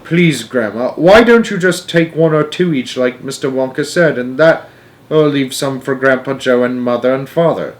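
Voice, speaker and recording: A man's voice: mid-range voice, normal speed, close to an everyday voice. A man voicing a polite young boy who pleads earnestly in a storybook reading. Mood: pleading.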